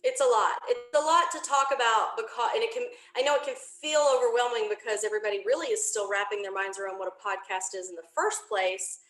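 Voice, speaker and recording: A woman's voice: a little high, fast talker, hardly any pauses, fairly bright tone. Chatty woman in an echoey room. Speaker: podcast creator being interviewed. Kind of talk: casual, chatty interview explanation. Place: indoor home office, remote video call.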